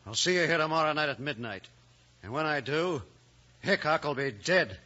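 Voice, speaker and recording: Impatient american cowboy outlaw, highish voice swinging around, dull old radio sound. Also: male voice, animated, normal speed, bright, forceful tone, slight background noise. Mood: tense. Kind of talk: old-time radio western acting.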